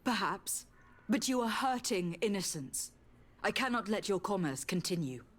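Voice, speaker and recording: A woman's voice: mid-range voice, expressive, moderate pace, lightly acted. An actress playing a principled noblewoman envoy in a fantasy video game, questioning and reproaching with formal composure. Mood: stern, resolute.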